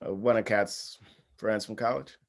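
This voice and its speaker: A man's voice: fairly low pitch, steady tone, moderate pace, vocal fry. A man acting a reluctant, wry groom-to-be in an understated screenplay table read. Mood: guarded. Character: reluctant, dryly wry groom-to-be among friends.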